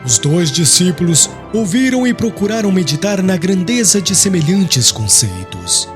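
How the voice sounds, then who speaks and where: A man's voice: high-pitched, very animated, normal speed, over music. A man narrating an audiobook in a solemn, literary style.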